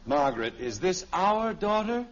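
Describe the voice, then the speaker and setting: A man's voice: sits high, measured pace. An actor playing an exasperated, sarcastic middle-aged American father in a 1950s radio sitcom. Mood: exasperated, stern. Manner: sarcastic, animated, blustering. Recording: dull, noisy vintage radio recording.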